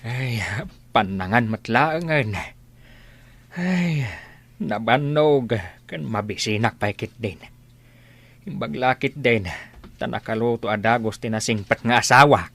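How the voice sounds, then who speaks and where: Slow husky weary old Ilocano husband. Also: male voice, medium pitch, fairly bright tone. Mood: weary, hungry. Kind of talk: Ilocano radio drama acting.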